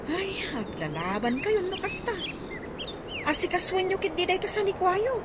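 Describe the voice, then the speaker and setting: A woman playing an excitable wife in a radio comedy drama. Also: very high voice, normal speed. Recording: noisy ambience with birds.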